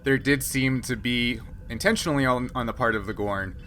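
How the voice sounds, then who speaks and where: A man working as game master, explaining and ruling on a tabletop game in a halting, think-aloud way. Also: mid-range voice, a bit bright, faint music behind.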